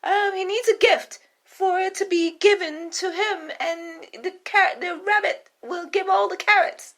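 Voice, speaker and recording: A woman's voice: very high voice, steady tone, a little slow, a bit bright, pitched above the performer's own voice, full character voice. A woman voicing a nervous male royal cook who stammers and hesitates in a storybook tale. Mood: flustered.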